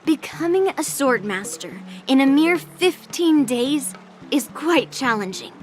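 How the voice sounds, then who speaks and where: A woman's voice: high-pitched, animated, unhurried, soft background music. An actress playing a blunt, proud young female sword master in an anime-style video game, frank and no-nonsense. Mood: stern.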